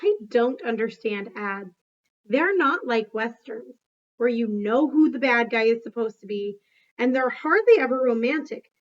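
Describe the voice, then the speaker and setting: A woman voicing a thoughtful, gentle male gorilla who tells his storybook tale in a plain, measured way. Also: on the high side, lively intonation, highs cut off. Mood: puzzled.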